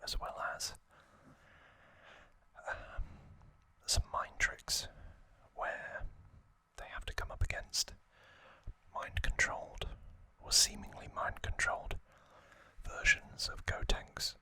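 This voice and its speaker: A man whispering breathily as an ASMR host, telling a story in hushed, short phrases with pauses between them. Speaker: host of an ASMR trivia channel.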